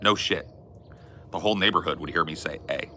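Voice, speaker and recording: A man co-hosting a podcast, telling stories in a rambling, laid-back way with a loud, resonant voice. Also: extremely low pitch, brisk, slight background noise. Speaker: podcast co-host. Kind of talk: casual chat.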